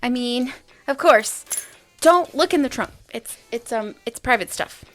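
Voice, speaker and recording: A woman's voice: a little high, lively intonation, a little slow, a bit bright. A young woman playing a nervous, awkward alien student who stammers and hesitates, in an audio drama. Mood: flustered. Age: young adult.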